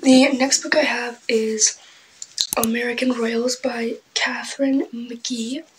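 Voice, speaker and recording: A young woman chatting casually about her book haul, clearing her throat often as she loses her voice, her asides trailing off soft and breathy. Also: fairly high pitch, steady tone, takes it slowly, a little hiss or hum, low-quality recording. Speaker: book vlogger. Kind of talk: casual book haul chat with read-aloud summaries.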